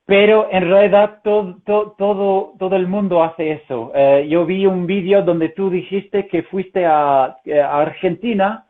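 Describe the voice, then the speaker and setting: Guest voice: a man with a fairly high voice and a learned Castilian Spanish accent, German first language, halting with lots of "eh"s, heard over a thin, phone-like video call. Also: steady tone. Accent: Castilian Spanish, learned as a second language. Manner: hesitant, many fillers and restarts. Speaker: interview guest, translator. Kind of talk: conversational interview. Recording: tinny phone-like call audio.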